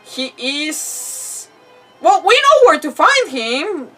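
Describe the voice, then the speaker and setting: Female game streamer: highish voice, halting casual commentary, giggly, slightly off-mic. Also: big pitch swings, bright, forceful tone, recorded from a distance, soft background music. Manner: halting, giggly, thinks aloud. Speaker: game livestreamer. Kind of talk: casual live gameplay commentary.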